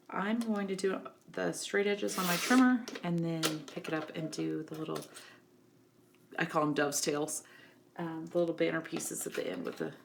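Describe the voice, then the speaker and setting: Casting: woman, low-ish gravelly voice, scratchy from a cold, chatty craft teacher, faint hiss behind. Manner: relaxed, chatty, many ums. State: getting over a cold, scratchy voice. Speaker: craft instructor. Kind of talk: scrapbooking tutorial.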